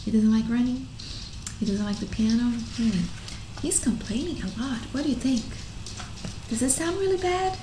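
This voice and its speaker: An echoey room with faint background noise carries a high-pitched woman's voice. She hosts a children's picture-book reading, adding expressive little asides and questions for her listeners. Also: normal speed, long pauses.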